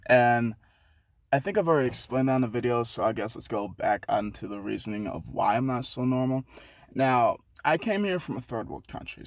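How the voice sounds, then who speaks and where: A young man from Haiti rambles through a casual vlog, swearing freely and laughing at his own detours. His voice sits at an ordinary pitch for a man. It is recorded dry and close, with no room sound. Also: no room sound. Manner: rambling, profane, self-mocking, with many restarts. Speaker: vlogger. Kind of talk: casual rambling monologue to camera.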